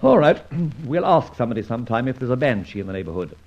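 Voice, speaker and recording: An actor playing a shrewd, well-spoken gentleman adventurer in an old-time radio serial, clipped and giving orders with old-fashioned slang. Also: medium pitch, very animated, quick pace. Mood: brisk, assured. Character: shrewd, commanding gentleman adventurer, brisk male leader. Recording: muffled, noisy old radio recording.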